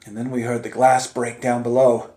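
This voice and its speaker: A man telling a dramatic first-person story in a theatrical manner, moving between hushed tones and urgent cries. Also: mid-range voice, flat delivery, normal speed. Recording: echoey, roomy sound.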